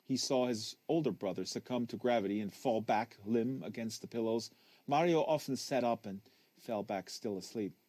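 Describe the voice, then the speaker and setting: A man reading a literary novel aloud as a narrator, leaning on phrases with deliberate emphasis. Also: mid-range voice, darkish tone, no room sound. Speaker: audiobook reader. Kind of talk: live literary audiobook reading.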